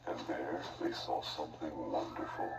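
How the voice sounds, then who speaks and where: A man's voice: rumbling low, normal speed, vocal fry, heavy background noise. A man giving dramatic scripted narration of a fairy tale as the storyteller. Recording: roomy, distant, loud music behind.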